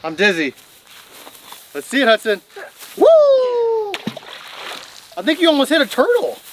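Woman, high voice, very animated, family vlog, outdoors on a farm, camera mic. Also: a little slow, a little hiss or hum. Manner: excited, cheering kids on. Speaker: mother and family vlogger. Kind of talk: playful family vlog narration. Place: outdoors on a farm, barn and lakeside.

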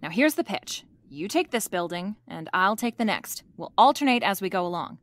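A woman playing a brisk, bossy, wisecracking private detective in an old-time radio-style mystery drama. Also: medium pitch, sing-song, no echo, studio quality, close to an everyday voice.

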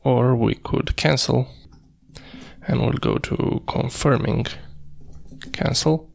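A man with a heavily creaky, gravelly voice and a middling pitch walks through a screencast tutorial. He thinks aloud as he works, speaking in halting pieces and sometimes half murmuring to himself. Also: fairly even intonation. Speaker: coding tutorial presenter. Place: indoors at a computer, screen recording.

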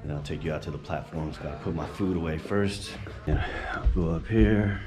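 A man casually narrating a vlog as he tours his weightlifting gym, relaxed and chatty. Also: a bass voice, normal speed. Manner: relaxed, chatty, laid-back. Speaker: vlogger touring his gym. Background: music.

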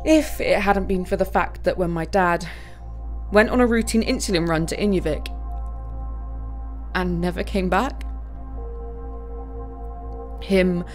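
An actress playing a wistful search and rescue pilot, telling her story in a reflective, conversational audio-play monologue with hesitant pauses. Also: on the low side, soft background music, lightly acted. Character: wistful female search and rescue bush pilot recounting a tragedy.

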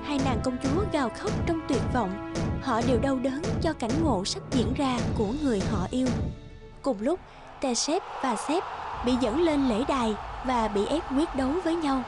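Woman telling a cartoon fairy tale, high voice, music playing behind her. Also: expressive, moderate pace. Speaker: narrator. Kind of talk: scripted fairy tale narration.

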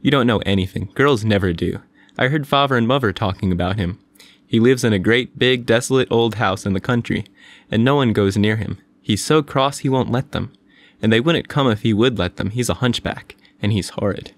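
A man's voice: fairly low pitch, brisk, no echo, close to an everyday voice. A man voicing a scornful, teasing seven-year-old boy in a storybook reading. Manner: mocking, superior.

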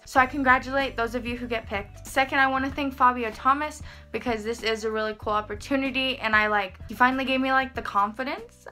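A young woman rambling in a casual vlog from her bedroom, talking to a camera in front of her and saying "like" a lot. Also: high voice. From Texas. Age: young adult. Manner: rambling, lots of "like", expressive hand gestures. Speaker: vlogger. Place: small bedroom, indoors. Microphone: camera in front, head and shoulders framing.

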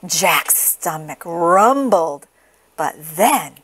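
A woman reads a picture book aloud for children. She takes her time, with dramatic phrasing and a very lively rise and fall. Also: fairly low pitch, very slow, husky. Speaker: storybook reader. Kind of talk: expressive children's read-aloud.

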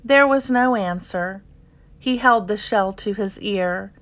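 A woman reading a children's picture book aloud in an expressive narrating style. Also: mid-range voice, slow. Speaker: storybook reader.

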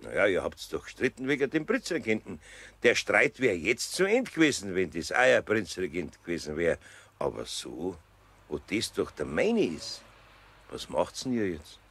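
An actor playing an older master carpenter with a Bavarian accent, good-natured and slyly teasing, in a children's radio play. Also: male voice, deep voice, big pitch swings, moderate pace, fairly bright tone, breathy edge, heavily acted.

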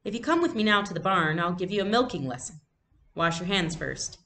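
A woman's voice: lowish voice, expressive, a little fast, sharp tone. A woman reading a storybook, voicing a jovial, kindly farmer uncle who teases heartily. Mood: kindly instructing.

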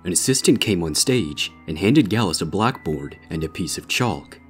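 A man narrates scripted horror fiction in a very low register. Faint music runs beneath the reading. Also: lively intonation, normal speed, fairly bright tone.

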